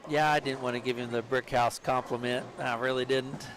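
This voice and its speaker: A man's voice: mid-range voice, steady tone, fairly quick, a bit bright, a little hiss or hum, low-quality recording. A man doing casual live commentary on a bowling tournament, chatty and joking and laughing often.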